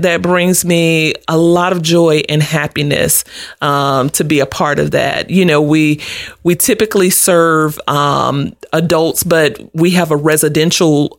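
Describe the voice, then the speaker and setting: A woman's voice: low voice, no echo, studio quality. A middle-aged woman answering interview questions as an advocate, explaining things earnestly in a conversational way. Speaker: guest, domestic abuse advocate.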